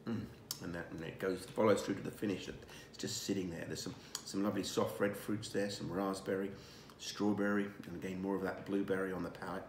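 A man in his sixties, a winemaker, presenting a wine tasting in a relaxed, savoring way with many fillers and restarts, recorded indoors at a dining table by a camera across the table. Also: deep voice, a little fast, few pauses, roomy sound, slight background noise.

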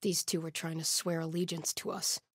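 A woman's voice: deep voice, little pitch movement, moderate pace, breathy edge, no echo, clean studio sound. An actress playing a grim, devout female cult soldier in a video game, with a stern, zealous manner. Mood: grim, hushed. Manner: solemn, stern, swinging from hushed muttering to shouted alarms. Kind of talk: video game combat voice lines.

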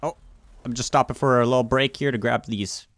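A man with a mid-pitched voice talks casually to his teammates in an online game. Game vehicle sounds play behind him. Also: fairly even intonation, fairly quick, fairly bright tone. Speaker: gamer in group roleplay. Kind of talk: casual multiplayer gaming chat.

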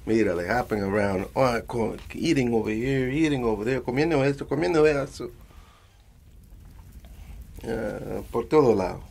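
Man playing a gravelly old Spanish-accented grandpa. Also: mid-range voice, normal speed, darkish tone. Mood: wry. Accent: Spanish-accented English. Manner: rambling, repeats phrases, slips between English and Spanish. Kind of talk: ASMR bedtime storytelling roleplay.

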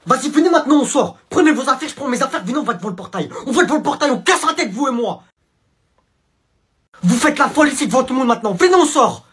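A young man plays a brash schoolboy who talks tough. He is loud and threatening and uses street slang. His voice is a little husky. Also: very high voice, animated, fast talker, voice pushed up, heavily acted. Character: pushy teenage schoolboy tough guy.

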